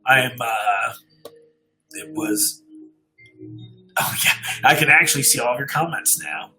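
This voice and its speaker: Bright-voiced man chatting as livestream host. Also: mid-range voice, measured pace, poor audio. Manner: informal, chatty, appreciative. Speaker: livestream host and story reader. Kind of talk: casual livestream chat.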